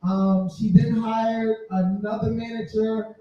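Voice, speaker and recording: A man in his forties with a strikingly high voice tells stories in a boisterous, casual way, repeating phrases for effect. He sounds far from the mic in a very echoey room. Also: flat delivery, slow, mellow, dull audio. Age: 40s. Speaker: podcaster telling their story at a live event. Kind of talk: casual live storytelling to an audience.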